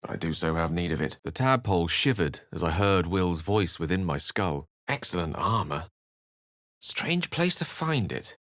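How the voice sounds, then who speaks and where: An actor voicing an erudite, thoughtful, wry wizard adventurer in a video game, with a measured, theatrical way of speaking. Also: male voice, sits low, sing-song, a bit bright, no echo, studio quality. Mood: measured, reflective. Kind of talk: video game voice acting, dialogue and narration.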